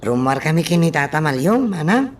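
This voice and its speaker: A man's voice: a little high, very animated, fairly quick, far from the mic, slight background noise. A man playing a lovesick, hopeful young suitor in a radio drama. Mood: cheerful.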